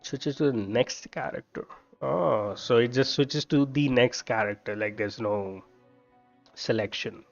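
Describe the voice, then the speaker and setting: A man's voice: medium pitch, unhurried, gravelly. A man giving casual gameplay commentary, thinking aloud as he plays. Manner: musing, thinking aloud while playing. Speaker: game streamer. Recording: dull lo-fi microphone sound. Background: game music.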